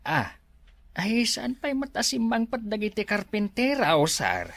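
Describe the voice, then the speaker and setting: An actor playing a hesitant, deferential male resort worker who speaks haltingly in an Ilocano radio drama. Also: high voice, very animated, fairly bright tone, full character voice.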